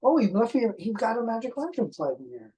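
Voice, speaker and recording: An excitable person presenting film finds in a casual unboxing chat, with joking asides and exclamations. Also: mid-range voice, brisk, over a noisy crowd, rough recording.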